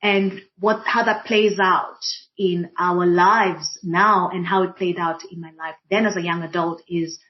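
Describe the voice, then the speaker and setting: Kenyan woman, deliberate, in slightly dull audio. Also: lowish voice, steady tone. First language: Swahili. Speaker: panel guest, diversity and inclusion practitioner. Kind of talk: reflective personal account in a group discussion.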